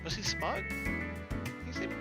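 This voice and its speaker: A man's voice: a little slow, loud music behind the voice. A man reading visual novel text aloud as a let's play host, adding slangy, irreverent casual commentary.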